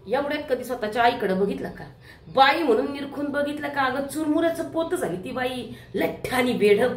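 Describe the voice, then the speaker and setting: A woman's voice: sits high, natural voice. Woman acting swaggering lecherous businessman, echoey hall. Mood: defiant, contemptuous. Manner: leering, swaggering, colloquial. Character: lecherous, boastful middle-aged businessman father, crude and bullying. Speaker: stage actor in a one-act play competition. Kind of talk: theatrical stage monologue acting. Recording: echoey hall, distant microphone.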